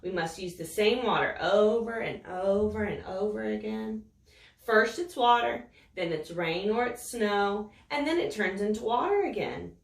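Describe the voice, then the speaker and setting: A woman reading a picture book aloud to children in a slow, expressive, sing-song storytelling voice. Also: mid-range voice, gravelly, far from the mic. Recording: roomy echo, voice set back from the mic.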